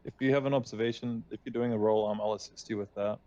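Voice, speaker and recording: A man's voice: on the low side, steady tone, quick pace, dark tone. A man chatting casually and somewhat hesitantly as a player in a tabletop roleplaying game. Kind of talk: casual chat during a game. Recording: dull lo-fi mic, faint noise.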